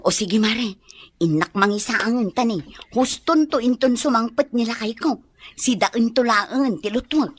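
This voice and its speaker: A woman's voice: fairly high pitch, a little slow, husky, no echo. A woman playing a boisterous, teasing wife in a comedy radio drama, with exaggerated, wailing delivery. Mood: sly, pleased. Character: boisterous, teasing wife putting on a drunken act.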